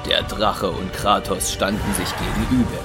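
A male narrator tells an action story for an audio drama. His voice is fairly low and the delivery is scripted, with loud music playing behind him. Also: steady tone, normal speed.

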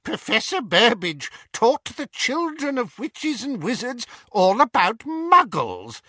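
A man voicing a cold, menacing male dark lord with a quiet, carrying voice, speaking slowly and mockingly. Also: extremely high pitch, wildly swooping pitch, no room sound, voice pushed up, heavily acted. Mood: sneering. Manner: slow, silky and mocking. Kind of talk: audiobook character voice.

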